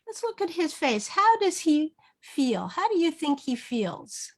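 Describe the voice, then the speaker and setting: A woman teaching through an interactive children's read-aloud, modeling phrases slowly and asking prompting questions. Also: high voice, very animated. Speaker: reading teacher. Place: online video session.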